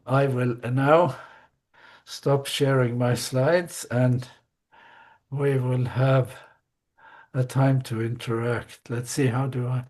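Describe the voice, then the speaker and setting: Guy presenting a class on a video call, easygoing, slow, lots of uh and you see. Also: medium pitch. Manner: relaxed, with fillers and "you see" asides. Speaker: lecturer.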